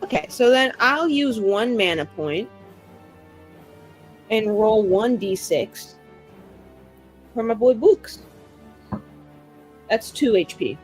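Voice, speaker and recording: A woman's voice: on the high side, expressive, takes it slowly, long pauses, faint music behind, low-quality recording. A woman playing a tabletop game, playful, and hesitant while she checks the rules. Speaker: player. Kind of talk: casual tabletop play.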